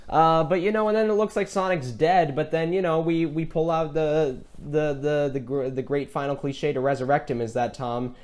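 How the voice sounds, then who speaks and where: A man's voice: on the high side, steady tone, moderate pace, hardly any pauses, darkish tone. A man co-hosting a casual film chat, talking in a rambling, enthusiastic fan's way. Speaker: podcast co-host.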